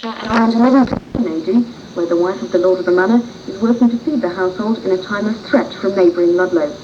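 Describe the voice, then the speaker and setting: An announcer reads a scripted programme introduction at a middling pitch. The recording is an old 1980s radio tape, muffled and clearly noisy. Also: fast.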